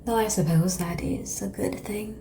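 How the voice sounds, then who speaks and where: A woman playing a calm, seductive ancient vampire in an ASMR roleplay, speaking softly and breathily, often whispering, with formal, measured phrasing. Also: mid-range voice, lightly acted. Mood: solemn, explanatory. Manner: slow, measured, formal phrasing with long pauses. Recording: clear background noise and ambience.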